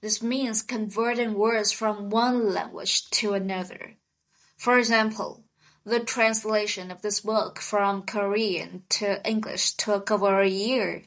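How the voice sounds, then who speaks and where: A woman co-hosting a scripted vocabulary lesson, speaking in a slow, clear teaching manner. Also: medium pitch, fairly even intonation, a bit bright, low-quality recording. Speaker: podcast co-host.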